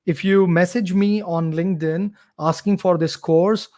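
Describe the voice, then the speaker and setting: A man's voice: high voice, fairly even intonation, unhurried, warm tone. A man, talking eagerly as a guest on a live show. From India. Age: 30s. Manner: eager, talkative, promotional. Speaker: guest, course creator. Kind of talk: casual live-stream interview. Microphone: computer mic on a live video stream.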